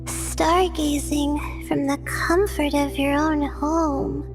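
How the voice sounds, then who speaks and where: A shy young stargazer with a very high voice who drifts through her words at a very slow, hesitant pace. Her soft-spoken lines sit over faint game music. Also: fairly even intonation. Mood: dreamy. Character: shy, dreamy young female star-gazing student.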